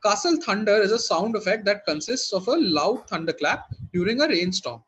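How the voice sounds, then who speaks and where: A man's voice: sits high, expressive, normal speed, a bit bright, husky. A man working as a quizmaster, reading out questions and hosting in a conversational way.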